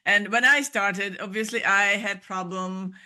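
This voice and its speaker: A woman with a Hungarian accent speaks as a guest on a podcast. She is earnest and encouraging, sometimes restarting her words. Her voice is bright, at a middle pitch. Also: steady tone, a little slow. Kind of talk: casual interview chat.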